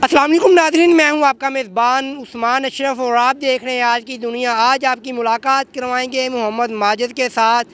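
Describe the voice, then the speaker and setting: A man's voice: very high-pitched, fairly even intonation, brisk, hardly any pauses, fairly bright tone, smooth. A man, an effusive host doing a street interview and repeating answers back. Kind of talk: street interview for a web show. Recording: outdoor street noise with traffic.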